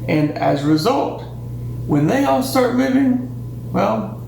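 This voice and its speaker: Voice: mid-range voice, takes it slowly, vocal fry. A science teacher doing a playful hands-on demonstration for children, exclaiming with delight as the experiment works. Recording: echoey room with steady hum.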